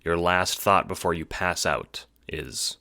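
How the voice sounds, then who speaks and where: A man's voice: sits low, slow, gravelly, bright, forceful tone. A man reading scripted second-person narration for an audio drama, on a noisy home recording. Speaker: narrator and producer.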